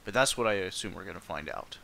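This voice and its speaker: A man's voice: low-pitched, lively intonation, fast talker, gravelly, bright, forceful tone, slight background noise. A man giving casual commentary while playing through a video game. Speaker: game streamer.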